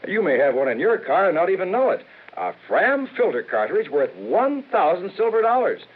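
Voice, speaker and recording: A cheery service-station pitchman, fairly high and lively in an old radio commercial. The voice is slightly husky. The sound is narrow and phone-like. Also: normal speed, full character voice. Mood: upbeat. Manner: upbeat salesman pitch.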